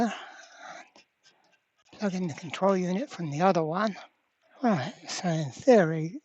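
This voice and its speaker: Slow-talking repair vlogger thinking aloud. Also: mid-range voice, a little mellow, highs cut off. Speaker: host of a radio repair channel. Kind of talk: casual hands-on repair vlog narration.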